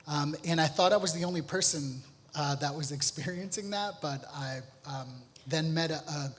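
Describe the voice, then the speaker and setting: A man giving an informal artist talk with slides, speaking in a halting, reflective way. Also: a little high, fairly bright tone. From Honduras and United States. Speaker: artist on a panel. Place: theatre, live panel event. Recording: echoey hall with long reverb.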